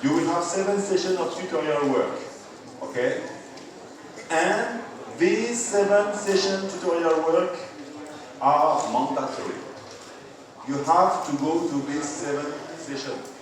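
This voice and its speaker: A man, a university physics professor giving a classroom lecture, halting with many fillers and repeated okays. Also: a little high. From France. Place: large lecture hall. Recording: echoey hall from far off with crowd murmur.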